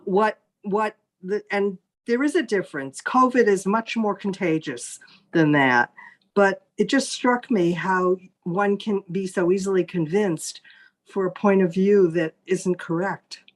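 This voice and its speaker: A woman's voice: medium pitch, a little slow. A woman therapist sharing her thoughts in a panel discussion, thoughtful and hesitant, often restarting.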